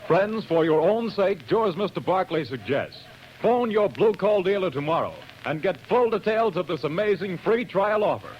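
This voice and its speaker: Male 1940s announcer, crisp and emphatic, dull lo-fi. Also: high-pitched, animated, normal speed, slight background noise. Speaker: radio announcer. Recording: dull old radio broadcast.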